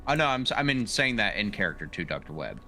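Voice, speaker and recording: A man's voice: lowish voice, big pitch swings, fast, sharp tone, faint music behind. A man playing a tabletop game, chatting casually and hesitantly as he searches for words. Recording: clearly noisy tabletop session recording.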